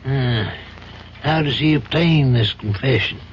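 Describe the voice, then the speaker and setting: An actor playing a compassionate older American judge in a 1970s radio drama, reasoning in a measured, avuncular, probing way. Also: male voice, mid-range voice, lightly acted. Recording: muffled, noisy old radio broadcast.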